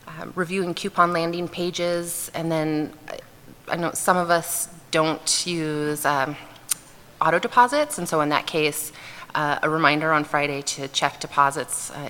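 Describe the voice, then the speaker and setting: A woman on a conference panel speaks in a low but bright, clear tone and offers practical advice with relaxed pauses. Her voice rings out through a large, echoing hall, with a faint hum of ambience behind it. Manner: relaxed, practical tips delivered with frequent pauses. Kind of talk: conference presentation.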